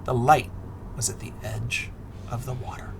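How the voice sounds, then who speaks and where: A middle-aged man reading a picture book aloud expressively to children, deliberate and dramatic, often dropping into a hush. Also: medium pitch, fairly even intonation, noisy background. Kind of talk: expressive children's read-aloud with asides and questions.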